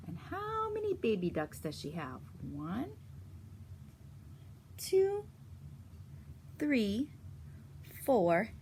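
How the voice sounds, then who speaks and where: A woman reading a picture book aloud to children in a teacherly way, pausing to explain and ask them questions. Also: mid-range voice, fairly bright tone, slight background noise. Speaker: story reader for children.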